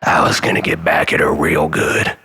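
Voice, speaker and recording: A man playing an obsessive, bitter, unhinged jilted lover in a dramatic monologue, volatile and halting, moving between breathy whispers and outbursts. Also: rumbling low, pushed and bright, heavily acted. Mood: seething, vengeful. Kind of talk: dramatic first-person monologue.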